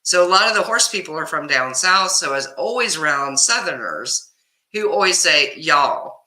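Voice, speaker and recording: A talkative woman with a Kansas accent hosts a live chat, greeting viewers by name and laughing easily. Her voice is very bright and rises and falls a lot. The room around her rings with echo. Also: deep voice, animated, normal speed. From Kansas, US Midwest. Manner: chatty, laughs often, answers viewers by name. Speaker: livestream host reading chat.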